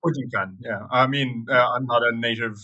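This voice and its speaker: A young Irish man answering interview questions in a thoughtful, measured way with some fillers. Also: mid-range voice, sharp tone, highs cut off. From Ireland. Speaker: guest.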